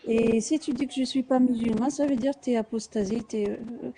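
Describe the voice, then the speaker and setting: A woman's voice: on the high side, fairly even intonation, brisk, mellow, rough recording. A woman giving personal testimony in a live discussion, hesitantly searching for words and repeating small ones. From Algeria. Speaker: live audio discussion participant.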